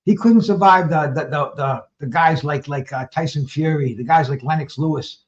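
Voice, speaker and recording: Host guy, pretty high voice, keeps repeating words when he starts talking, muffled lo-fi audio. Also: moderate pace. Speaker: show host. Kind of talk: casual chat.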